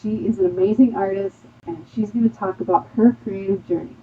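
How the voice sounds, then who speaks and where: A woman's voice: mid-range voice, fairly even intonation, fairly quick, dark tone, a little hiss or hum. A woman host giving an upbeat, eager show intro. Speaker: podcast host. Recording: tinny phone-like line.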